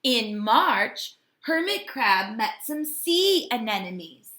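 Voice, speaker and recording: A woman teacher reads to young children at an unhurried, deliberate pace. Her voice is bright and fairly high, with the echo of a home kitchen around it. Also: sing-song. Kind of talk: children's read-aloud lesson. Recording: echoey domestic room.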